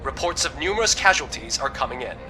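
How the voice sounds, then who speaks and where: A man's voice: medium pitch, expressive, fast talker, music in the background, lightly acted. A man acting a formal television reporter giving a dramatic breaking news bulletin in a video game. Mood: urgent, grave.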